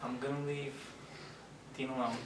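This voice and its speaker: An actor playing a meek, earnest junior writer in a stage play, speaking hesitantly and sounding easily intimidated. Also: male voice, mid-range voice, flat delivery, moderate pace, close to an everyday voice. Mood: cowed. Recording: echoey stage, distant mic, clear noise.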